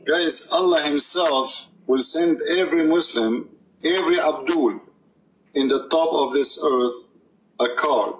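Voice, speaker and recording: A man's voice: on the high side, a little slow, slight background noise, low-quality recording. A male commentator with a somewhat raised voice reacts to religious material. He is sarcastic and mocking. He often repeats phrases as if he cannot believe them. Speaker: commentator reacting to a played video.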